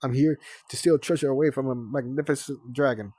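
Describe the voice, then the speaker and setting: A man voicing a big young male dragon playfully squeaking as a tiny scavenger. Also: steady tone, brisk, dark tone, slightly rough, no echo, lightly acted.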